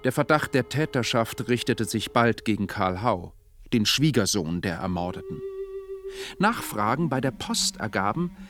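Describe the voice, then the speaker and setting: A man narrating a radio drama in a formal, factual, scripted reading. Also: medium pitch. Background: music.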